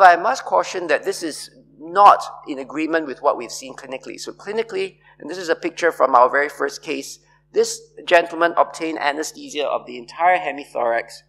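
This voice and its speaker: Gravelly middle-aged man lecturing from a podium. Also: on the high side, a bit bright, rough recording. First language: not French. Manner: measured, explanatory, with frequent uh fillers. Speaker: conference lecturer. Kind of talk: academic conference talk. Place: large lecture hall, on stage at podium. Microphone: podium microphone.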